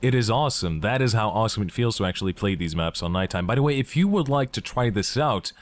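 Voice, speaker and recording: A man's voice: fairly low pitch, animated, fast talker. A young man from Belgium, talking enthusiastically and jokingly in a casual gaming vlog. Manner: enthusiastic, joking, asks himself questions. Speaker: gaming YouTuber.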